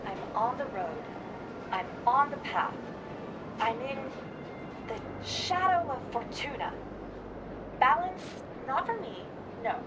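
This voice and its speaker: An actress playing a serene, whimsical dead soul in a radio drama, speaking philosophically in dreamy, broken phrases. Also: female voice, sits high, lively intonation, slow pace, pauses often, noisy background. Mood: whimsical, musing. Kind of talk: dramatic radio play monologue.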